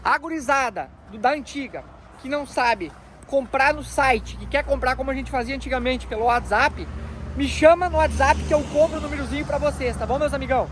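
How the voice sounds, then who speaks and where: Man, very high voice, chatty raffle pitch, faint street noise outdoors. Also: a little fast, a bit bright. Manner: chatty, repeats "tá bom, meus amigão". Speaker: truck shop owner running a raffle. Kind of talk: casual promo talk to followers.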